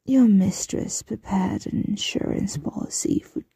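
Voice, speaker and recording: A woman playing a possessive, smugly menacing mistress in an ASMR roleplay, breathy and often whispering, with a teasing, condescending coo. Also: mid-range voice, dark tone, no echo, full character voice. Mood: stern, commanding. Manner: teasing, condescending, drawn-out cooing.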